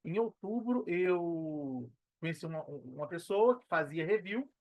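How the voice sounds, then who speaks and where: A man's voice: a little high, expressive, a little slow, no echo. A man in his thirties with a Minas Gerais Brazilian accent, talking casually as an interview guest. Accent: Brazilian Portuguese, Minas Gerais. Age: 30s. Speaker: podcast guest, personal trainer and affiliate marketer. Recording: dull, lo-fi, dry audio.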